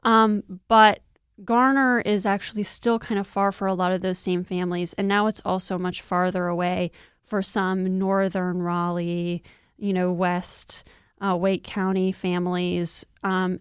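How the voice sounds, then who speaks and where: A woman's voice: medium pitch, level tone, unhurried, smooth, no room sound, studio quality. A woman reporter explaining things step by step in an informal, conversational news discussion. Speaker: news reporter, interview guest.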